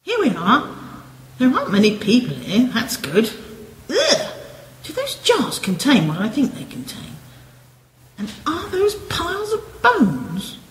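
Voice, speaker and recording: A woman's voice: high voice, huge pitch swings, a little slow, slight background noise, heavily acted, poor audio. A woman playing a blunt, sceptical sleuth's sidekick in an amateur radio play, exclaiming. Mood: startled, curious.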